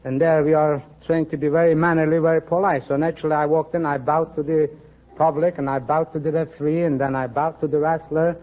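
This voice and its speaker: Persian accent guy, kinda high voice, very polite formal contestant answers, dull old radio sound. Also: little pitch movement, fairly quick. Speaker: quiz show contestant, professional wrestler. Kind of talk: polite interview answers and anecdotes. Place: radio studio stage with audience. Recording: muffled vintage radio broadcast recording.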